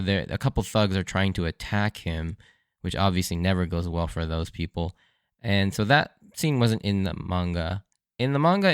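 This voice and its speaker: A man hosting a solo podcast in a casual, chatty way, his voice a little hoarse and worn. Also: on the low side, no room sound, clean studio sound. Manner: conversational, with frequent fillers and light laughs. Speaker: podcast host. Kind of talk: solo podcast episode review.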